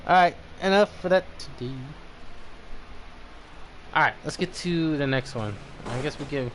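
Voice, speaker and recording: A man's voice: a little high, measured pace, background noise. A man giving casual live gameplay commentary, informally muttering to himself and swearing. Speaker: game streamer.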